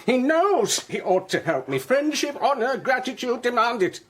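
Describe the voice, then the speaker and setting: A man's voice: very high-pitched, big pitch swings, normal speed, fairly bright tone, full character voice. An actor playing a bitter, ruined elderly father, declaiming indignantly in a period radio drama. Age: older.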